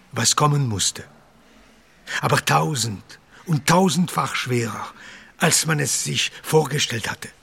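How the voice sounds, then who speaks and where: A middle-aged Alsatian doctor and idealist in a radio drama. His voice is husky and he speaks slowly, with earnest gravity. Soft music sits beneath him. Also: a little high, full character voice. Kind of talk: German radio drama acting.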